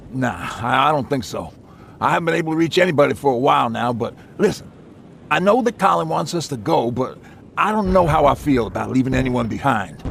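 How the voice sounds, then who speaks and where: An actor playing an anxious, earnest leader of a survivor group in a video game, chatty and urgent. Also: male voice, medium pitch, lively intonation, brisk, vocal fry, a bit bright, slight background noise. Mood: anxious, pleading. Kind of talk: cinematic video game acting.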